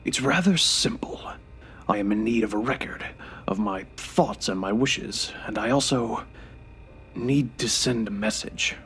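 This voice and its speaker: An actor playing a dying ninety-three-year-old grandfather in an audio drama, speaking in a halting, deliberate way with breathy near-whispers. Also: lowish voice, fairly bright tone. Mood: solemn, weary. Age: 90s as played. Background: music.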